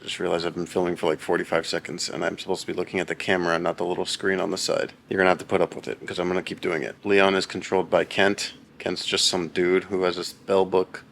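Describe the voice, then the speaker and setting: A young man with a very low voice, chatting casually and deadpan to a camera at home, rambling and poking fun at himself. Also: fast, creaky, few pauses, slight background noise. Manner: deadpan, rambling and self-mocking. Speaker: husband reading a book on camera. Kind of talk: casual vlog chat.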